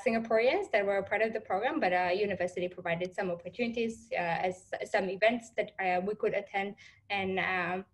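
A young woman giving informal panel answers on a video call, halting and often restarting as she thinks. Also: mid-range voice. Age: young adult.

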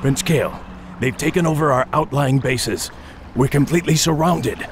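A man as an urgent soldier, animated pitch. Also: normal speed, background noise, natural voice. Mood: alarmed. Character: urgent, dutiful elf soldier reporting to his prince. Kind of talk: video game cutscene acting.

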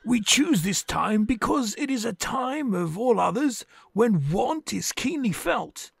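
An actor playing an earnest gentleman collecting for charity, polite and hesitant. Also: extremely high pitch, very animated, measured pace, vocal fry, breathy edge, no echo, full character voice. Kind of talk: audio drama acting.

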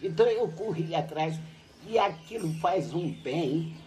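Senhora idosa contando histórias com muita animação. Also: female voice, fairly low pitch, unhurried, soft background music, low-quality recording. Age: older. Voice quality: lively, animated storytelling with laughs. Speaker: retired teacher. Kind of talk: reminiscing interview. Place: covered outdoor patio at a home.

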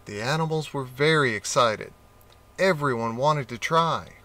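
A man reads a children's storybook aloud, slowly and expressively. His voice is bright and forceful, and his delivery is gentle and unhurried. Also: mid-range voice. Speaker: audiobook reader.

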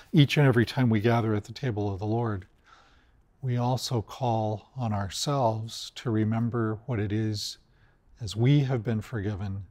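An older man, a priest, gives a calm, measured weekly homily to camera inside a large church. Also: medium pitch. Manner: calm, measured and gently pastoral.

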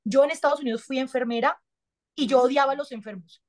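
High-voiced chatty woman. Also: fast. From Colombia. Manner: lively, gestures a lot, chatty with slang. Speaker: actress and TV host, guest. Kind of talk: casual interview over video call. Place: small indoor room. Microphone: webcam on a video call.

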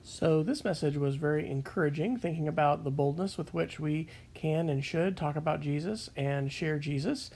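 Gravelly man summarizing a sermon. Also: on the high side, normal speed, few pauses. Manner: calm, reflective, with frequent fillers. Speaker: sketchnote narrator. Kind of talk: reading scripture and summarizing a sermon.

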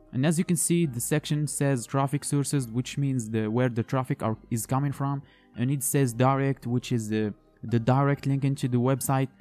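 Male tech tutorial host, mellow tone, plain step-by-step delivery, faint music underneath. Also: medium pitch. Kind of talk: screen-recorded tech tutorial voice-over.

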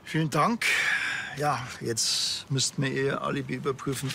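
An actor playing a dry, sceptical small-town police detective with a Bavarian accent and a deadpan, colloquial delivery. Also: male voice, mid-range voice, normal speed, creaky, lightly acted. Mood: matter-of-fact.

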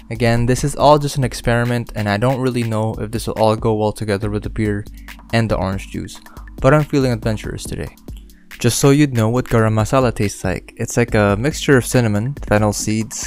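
A man from the Philippines narrating his cooking channel in voice-over, giving relaxed step-by-step instructions with dry humor. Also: fairly low pitch.

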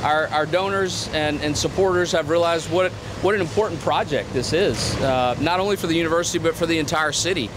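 A middle-aged man answering press questions outdoors, halting with many repeated words and fillers. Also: on the high side. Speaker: interim athletic director. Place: outdoors at a stadium construction site. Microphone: podium microphones on a stand. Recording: outdoor traffic noise behind.

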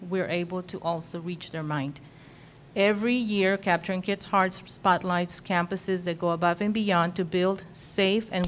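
A woman's voice: on the low side, a little hiss or hum. Woman reading formal remarks to a board. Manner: reads prepared remarks, formal. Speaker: school administrator presenter. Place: school board meeting room.

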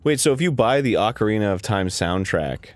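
A man's voice: fairly low pitch, lively intonation, brisk, dry recording. A man co-hosting a gameplay chat, dropping short wry questions and asides. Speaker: co-host.

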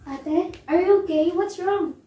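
Young woman acting earnest younger sister, very high mellow voice, amateur melodrama, distant echoey room. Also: a little slow. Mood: concerned. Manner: earnest, encouraging. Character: supportive, concerned younger sister. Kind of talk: amateur one-act melodrama. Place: small living room, indoors. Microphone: far camera. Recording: echoey living room, distant mic, faint noise.

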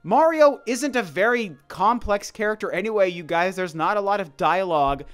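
A man with a slightly high voice delivers casual opinion commentary in a voice-over. He talks conversationally but emphatically, circling back over his points to drive them home. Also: expressive, moderate pace, a bit bright, clean tone, faint music behind. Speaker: video commentator. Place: voice-over over gameplay footage.

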